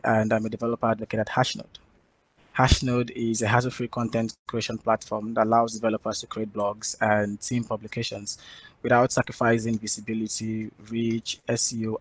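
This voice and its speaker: Male conference speaker, formal and steady delivery, dry recording. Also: lowish voice, no room sound, poor audio. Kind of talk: prepared tech talk.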